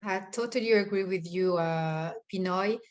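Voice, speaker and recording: A woman's voice: fairly low pitch, normal speed, lo-fi sound. A woman moderating a panel discussion, speaking unscripted and stressing points through repetition.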